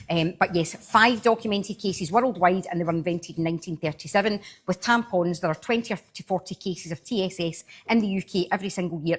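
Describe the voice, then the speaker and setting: Chatty Glaswegian woman, breathy voice. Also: on the low side. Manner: chatty, answers viewers by name while demoing. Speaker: live-selling host. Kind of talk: casual live-stream sales demo.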